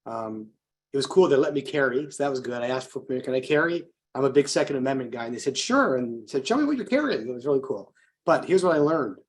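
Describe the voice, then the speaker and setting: A man's voice: medium pitch, animated, fast. An American man co-hosting a conversational political discussion, telling anecdotes, posing rhetorical questions and acting out bits of dialogue. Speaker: co-host, political activist.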